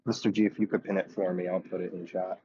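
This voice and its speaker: A man's voice: low-pitched, flat delivery, quick pace, mellow, a little hiss or hum. A man chatting casually in a laid-back way, calling in to a streamer's voice call. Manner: laid-back, says "bro". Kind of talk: casual chat. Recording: dull lo-fi voice-chat audio.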